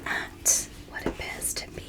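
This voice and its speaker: Casting: woman as courteous alien medical examiner for ASMR roleplay, breathy whisper throughout, careful and reassuring. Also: close to an everyday voice, low-quality recording. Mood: gentle, polite. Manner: slow, careful, softly reassuring. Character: polite, gentle alien doctor examining a human.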